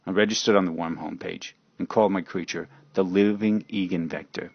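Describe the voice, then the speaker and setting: Male narrator for amateur radio drama: low, rough voice, brooding theatrical read, lo-fi sound. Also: steady tone, a little fast, dry recording. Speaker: storyteller narrator. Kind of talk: dramatic first-person fan fiction narration.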